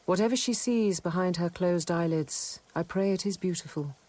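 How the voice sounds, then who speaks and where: An actress playing a sober, observant nun who narrates a radio drama in a measured, contemplative way. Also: female voice, fairly low pitch, mellow, natural voice, rough recording. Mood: grave, contemplative.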